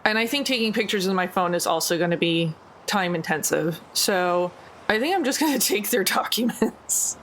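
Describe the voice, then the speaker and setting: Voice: medium pitch, lively intonation, moderate pace, fairly bright tone, a little hiss or hum. A player in a tabletop role-playing game, decisively planning the group's next moves in casual chat.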